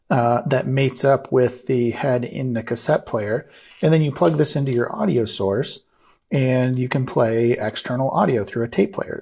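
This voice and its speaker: A man's voice: medium pitch, vocal fry, a little mellow, slightly rough. A man hosting a retro tech repair video, explaining things step by step in a casual, hands-on way. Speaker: host of a retro computing repair channel.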